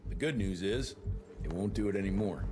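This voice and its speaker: Gruff, sardonic vampire hunter played by a man. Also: lowish voice, animated, fairly quick, over loud music, natural voice. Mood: grimly wry. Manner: blunt, sardonic, coarse-tongued. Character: gruff, defiant male vampire hunter, sardonic and hot-headed. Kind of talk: video game voice acting.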